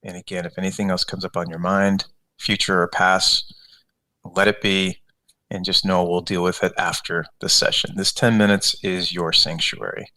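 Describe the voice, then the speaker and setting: A man leading a guided meditation as a coach, giving unscripted, conversational instructions step by step with gentle repetition. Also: low voice, flat delivery, moderate pace, low-quality recording.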